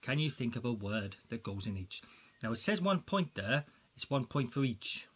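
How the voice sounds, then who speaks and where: A man with a Welsh accent presents a school quiz for children in a chatty, excitable way, full of jokey asides. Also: mid-range voice, normal speed, husky. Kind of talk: playful quiz commentary for children.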